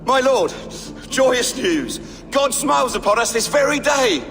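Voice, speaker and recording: An excited, pious Saxon messenger. His declamatory voice is very high, ringing through a reverberant, noisy hall in game cutscene style. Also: unhurried, exaggerated voice. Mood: joyous. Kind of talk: video game cutscene acting. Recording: echoing hall, clear background noise.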